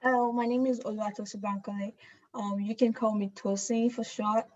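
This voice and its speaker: A woman's voice: medium pitch, level tone, a little fast, a little mellow, lo-fi sound. A woman from Nigeria whose first language is Yoruba, introducing herself in an easygoing way on a video call. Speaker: student panelist.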